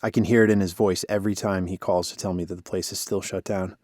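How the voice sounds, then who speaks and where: An actor playing a broken-down radio DJ, weary, sardonic and self-deprecating, in conversational audio drama dialogue. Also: male voice, low-pitched, little pitch movement, quick pace, a little mellow, no echo, clean studio sound. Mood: defensive, weary.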